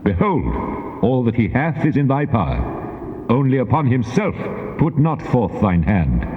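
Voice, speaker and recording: A majestic, commanding deity, solemn and booming in a Bible drama. The delivery is animated in pitch and drenched in long cavernous reverb on a dull tape recording. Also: male voice, measured pace. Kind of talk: dramatized scripture reading, theatrical.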